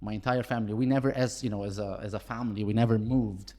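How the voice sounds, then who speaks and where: A man's voice: on the low side, fairly even intonation, quick pace, darkish tone. A man from Brazil, a podcast guest, chatting casually and rambling with lots of restarts. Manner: rambling, many restarts and fillers. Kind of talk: casual chat.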